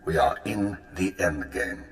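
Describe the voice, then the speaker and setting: An actor voicing a composed male intelligence chief who speaks in a formal, measured, dry way. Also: low-pitched.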